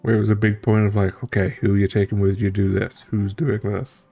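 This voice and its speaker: Creaky, mellow man commenting on a game. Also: on the low side, flat delivery, fairly quick, soft background music. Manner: musing, reading aloud, wry. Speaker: streamer playing and reacting to a story game. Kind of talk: casual gameplay commentary.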